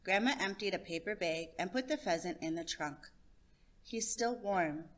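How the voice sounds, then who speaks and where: A woman hosting a story time, reading a children's picture book aloud in an expressive narration. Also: lowish voice, a little fast. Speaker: storytime host and reader.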